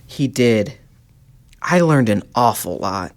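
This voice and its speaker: A woman's voice: extremely low pitch, expressive, slow pace, heavily acted. A woman voicing an earnest, polite young boy in a children's puppet show. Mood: puzzled, shy.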